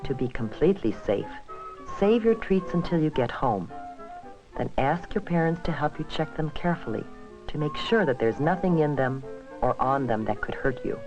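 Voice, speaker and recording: An American man reading scripted, instructive narration for a 1970s safety film, with measured phrasing addressed to young people. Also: fairly high pitch, animated, music in the background. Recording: dull vintage 1970s film soundtrack.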